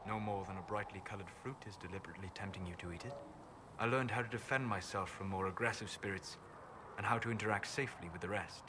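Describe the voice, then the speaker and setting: Reserved, scholarly elven mage, played by a man for a fantasy game: low, formal, thoughtful delivery. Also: close to an everyday voice. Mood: reflective. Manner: measured, formal, thoughtful phrasing. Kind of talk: video game dialogue acting. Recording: clear ambient noise behind game dialogue.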